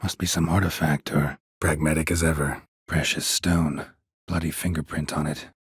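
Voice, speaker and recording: A gruff, world-weary monster hunter for a video game. His voice is very low and heavily rasped, recorded dry and close. He speaks in terse, deadpan phrases with a stoic temper and dry understatement. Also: moderate pace, dry recording, heavily acted. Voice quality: gravelly, husky rasp. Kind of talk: video game character dialogue.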